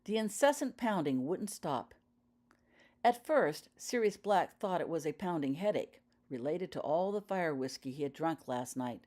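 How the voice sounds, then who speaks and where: A woman's voice: low voice, expressive, a little slow, a little mellow. A woman reading her own fiction aloud as audiobook narration, telling the story in character-focused prose.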